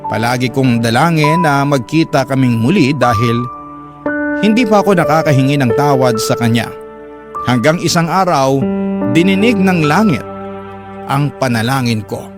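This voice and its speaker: A man's voice: mid-range voice, lively intonation, normal speed, lightly acted. A male actor playing a regretful, caring father, narrating his life story in a radio drama. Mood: wistful, longing. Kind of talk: dramatic first-person narration. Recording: loud music under the voice.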